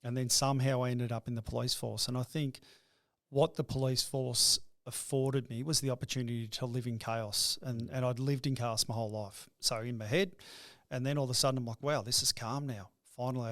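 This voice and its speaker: A man's voice: mid-range voice, steady tone, a bit bright, no room sound, studio quality. A middle-aged man, a business owner talking through his life story in an interview, often stumbling and repeating words as he starts a thought. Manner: halting, repeats words when starting sentences. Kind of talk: reflective interview talk.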